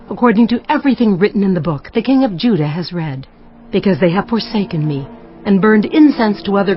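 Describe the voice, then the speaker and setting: A woman's voice: medium pitch, natural voice. A woman playing a stern prophetess in a dramatized scripture audiobook, speaking in a solemn, declamatory manner. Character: woman prophet delivering stern divine judgement. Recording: dull lo-fi sound with music behind.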